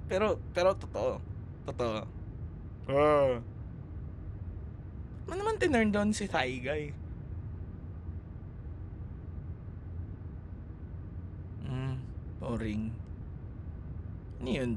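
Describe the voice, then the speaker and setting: A man's voice: mid-range voice, wildly swooping pitch, takes it slowly, pauses often, slight background noise. A man with a Filipino accent acting a teasing young ex-boyfriend in a casual, intimate roleplay. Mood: reflective.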